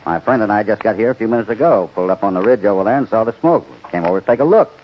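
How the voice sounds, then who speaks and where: A man playing a steady, plain-spoken cowboy hero in an old-time radio western, dryly confident and authoritative. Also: lowish voice, rapid-fire. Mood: calm, firm. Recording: muffled, hissy old radio broadcast.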